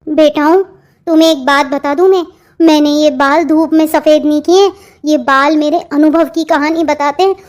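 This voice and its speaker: A performer acts out an elderly, motherly mother-in-law in a family cartoon. The voice is strikingly high, with a doting, pleading way of talking and a worried edge. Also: steady tone, moderate pace, natural voice. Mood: worried, resolute. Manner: pleading, motherly, repetitive endearments. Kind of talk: Hindi family comedy cartoon dialogue.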